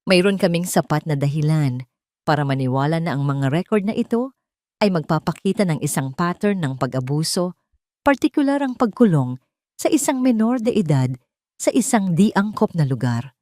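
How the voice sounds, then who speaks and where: A woman's voice: low-pitched, expressive, moderate pace, dark tone, dry recording. Woman narrator as male attorney: Filipino, earnest, formal, persuasive courtroom delivery for a storybook drama, close dry recording. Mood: earnest, insistent. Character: earnest, formal male lawyer arguing before a judge.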